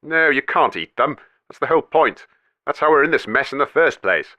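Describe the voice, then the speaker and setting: A man's voice: medium pitch, lively intonation, brisk, dry recording, muffled recording, lightly acted. A man voicing a haughty, greedy old banker in a comedy radio sketch. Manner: haughty, condescending.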